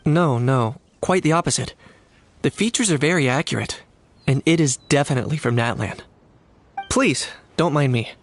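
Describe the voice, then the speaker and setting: A man voicing a cool, composed young mercenary in a video game, calm, dry and understated. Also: mid-range voice, moderate pace, slight background noise, lightly acted. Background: soft game music.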